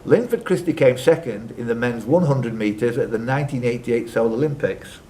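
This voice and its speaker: A man with a mid-pitched voice hosts a live quiz, reading questions aloud and bantering with players. The recording is made in a live club room with some background noise. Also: normal speed, mellow. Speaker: quiz host and question reader. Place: social club room with ambient noise behind.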